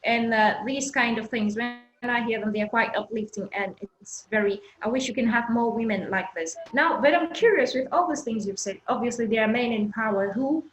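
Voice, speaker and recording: Female interviewer, from Cameroon, conversational questioning, slightly raised pitch, noticeably roomy recording. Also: normal speed, hardly any pauses. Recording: echoey room sound.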